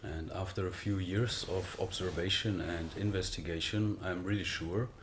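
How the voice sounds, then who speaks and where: A man from Germany with a German accent, speaking English as a second language as an interview guest, halting with frequent pauses and fillers. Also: deep voice, steady tone, a bit bright, slight background noise. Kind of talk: conversational interview.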